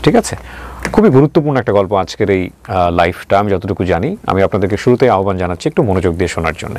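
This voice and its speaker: Fast-talking, low-voiced male interviewer. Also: sing-song, darkish tone, a little hiss or hum. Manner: interjects short acknowledgements and clarifying questions. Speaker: interviewer and show host. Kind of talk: probing personal-story interview.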